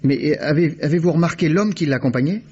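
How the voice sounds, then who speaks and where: A courteous, eager investigator speaks in a husky, mid-pitched voice. He is polite but keeps pressing his questions. The sound is dull and dated, like an old radio broadcast. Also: fairly quick, darkish tone. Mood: earnest. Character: polite, persistent male private investigator. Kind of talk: 1950s radio drama acting.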